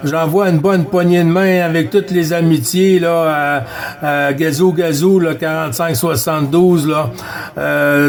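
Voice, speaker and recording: A man from Quebec with a Québécois accent, chatting casually and haltingly as a CB radio operator. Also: a little high. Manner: halting, repetitive, frequent filler tags. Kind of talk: casual radio contact chat.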